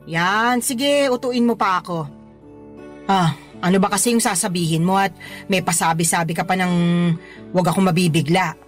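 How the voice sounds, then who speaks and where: A woman's voice: on the low side, moderate pace, soft background music, natural voice. An actress playing a loving, teasing, plain-spoken older Filipino mother and grandmother in a radio drama, emphatic in her motherly advice. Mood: playful teasing. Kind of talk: Tagalog radio drama acting.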